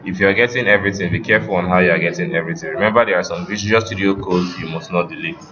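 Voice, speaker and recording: A man's voice: sits low, steady tone, very fast, muffled recording, a crowd in the background. A man teaching a step-by-step coding lesson, giving students instructions and checking that they follow along. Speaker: web development instructor.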